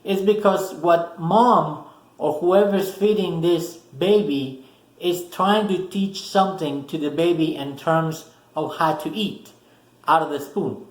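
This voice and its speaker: A man with a Spanish accent, a native Spanish speaker, explaining a self-help lesson slowly in short, repeated phrases. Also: on the high side, roomy sound, poor audio. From Spanish-speaking country. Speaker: self-help video host. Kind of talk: explanatory talk to camera.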